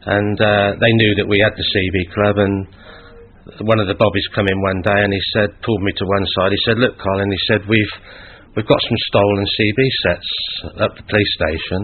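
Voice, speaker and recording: A man's voice: lowish voice, level tone, brisk, a little hiss or hum. A middle-aged man telling casual anecdotes as an interviewee. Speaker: interviewee, former CB user. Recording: band-limited phone-like sound.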